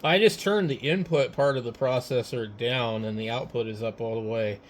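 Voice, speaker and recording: A man's voice: mid-range voice, normal speed. A man talking casually and a bit hesitantly as an amateur radio operator on a ham radio setup. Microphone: radio microphones, switched between hand mics. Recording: noisy amateur radio setup, steady background noise.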